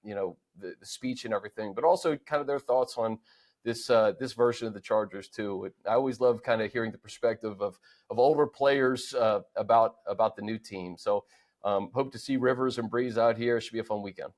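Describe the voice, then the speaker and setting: A man's voice: fairly low pitch, brisk, a little mellow. A man hosting a sports show, chatting about football in an informal, conversational way. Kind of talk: casual sports talk.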